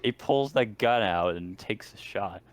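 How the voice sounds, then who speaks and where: A man's voice: on the low side, measured pace. A man chatting casually as a player in a tabletop roleplaying game. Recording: faint noise, clicks.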